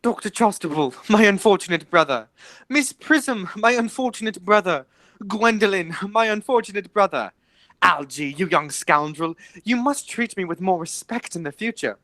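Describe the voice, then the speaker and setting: A woman playing an earnest, excitable young gentleman in an amateur radio comedy, formal and theatrical. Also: mid-range voice, normal speed, dry recording, natural voice. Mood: elated.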